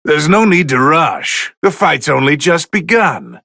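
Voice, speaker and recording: A man playing a haughty, bored god of destruction who commentates on video game fights in a condescending, sardonic, theatrical drawl with a gravelly edge. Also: on the high side, very animated, measured pace, pushed and bright, no echo, studio quality, full character voice. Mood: detached, critical. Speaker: match commentator.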